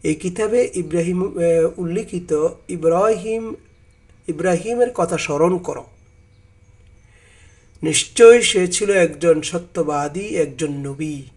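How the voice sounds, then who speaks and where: A man with a slightly high voice works as a religious narrator, reading translated scripture and explaining it. His delivery is slow and deliberate, sometimes repeating a phrase. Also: a little mellow.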